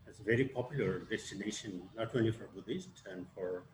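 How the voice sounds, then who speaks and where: A low-voiced man speaks haltingly, filling gaps with "uh" while he moderates an online talk. The call sounds roomy and echoey. A faint hiss sits under it. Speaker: webinar moderator presenting slides. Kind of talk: hesitant presentation with many fillers.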